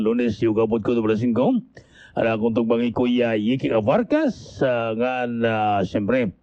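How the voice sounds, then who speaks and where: Mellow man with Waray accent, dull radio sound. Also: mid-range voice, no room sound. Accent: Waray, Eastern Visayas. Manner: chatty, with questioning tags. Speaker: early morning radio host. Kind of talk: casual live radio greetings to listeners.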